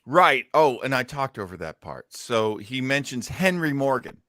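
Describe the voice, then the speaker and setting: A man's voice: medium pitch, big pitch swings, normal speed, pushed and bright, dry recording, clean studio sound. A man hosting a casual podcast about old-time radio, chatting and commenting with wisecracking banter. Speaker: podcast host.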